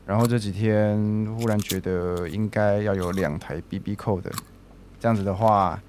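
A young man with a Taiwanese accent acting a shy, earnest, halting student in an audio drama. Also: on the low side, steady tone, darkish tone, slight background noise, natural voice. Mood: shy longing. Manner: halting, awkward. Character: shy, awkward, earnest lovesick male student.

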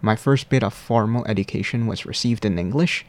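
A man, explaining things in formal, deliberate, carefully worded answers. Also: lowish voice, a little mellow. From Malaysia. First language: Cantonese. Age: 20s. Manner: formal, deliberate, precise wording. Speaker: university student guest.

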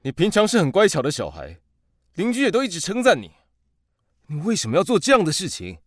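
An actor playing a puzzled, reproachful authority figure in a radio drama. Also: male voice, high-pitched, huge pitch swings, fast, no room sound, clean studio sound, exaggerated voice.

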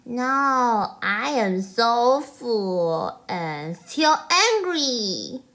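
Woman voicing a high, animated angry little monkey. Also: measured pace. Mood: whiny, still angry. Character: angry little boy monkey, childlike, polite and whiny. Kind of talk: bilingual children's storytelling.

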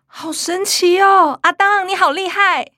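A woman's voice: very high-pitched, fairly even intonation, a little slow, pushed and bright, dry recording, clean studio sound, exaggerated voice. A woman playing an eager, earnest young granddaughter with a Taiwanese accent in a radio drama. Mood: amazed, unsure.

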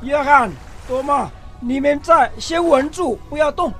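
A man playing a creaky, high-voiced old fisherman. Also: a little slow, a bit bright, faint music behind, full character voice. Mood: urgent. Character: Peter, old male fisherman, plain-spoken and fretful. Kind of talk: biblical radio drama acting.

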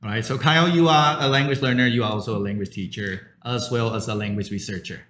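A man, a professor hosting an English-learning podcast, giving clear, upbeat introductions and short follow-up questions. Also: medium pitch, fast talker, a bit bright. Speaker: host, professor. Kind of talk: podcast interviewing. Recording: echoey room, faint background noise.

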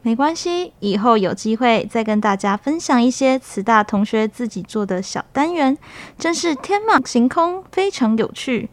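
Young woman, Taiwanese Mandarin accent, high voice, animated intonation, student radio co-host banter. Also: moderate pace. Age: young adult.

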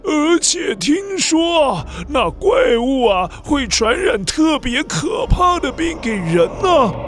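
A man's voice: very high-pitched, sing-song, slow pace, a bit bright, pitched above the performer's own voice, heavily acted. A man voicing a fretful village man in a children's audio drama, anxious and wailing. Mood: wailing, panicky. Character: panicky, fretful male villager in old Taiwan.

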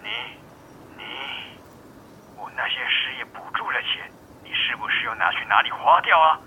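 A man's voice: medium pitch, very animated, moderate pace, bright, forceful tone, lo-fi sound, slight background noise, exaggerated voice. Harsh, blustering drunken father. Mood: angry accusing. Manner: blustering, stammering, accusing. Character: drunken, jobless, resentful father, middle-aged. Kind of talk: radio drama acting.

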